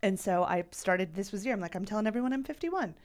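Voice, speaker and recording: A woman in her forties co-hosting a podcast, chatting casually and telling animated stories in which she acts out what people say. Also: mid-range voice, fast. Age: 40s. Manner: animated storyteller, acts out voices. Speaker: podcast co-host. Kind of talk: casual chat.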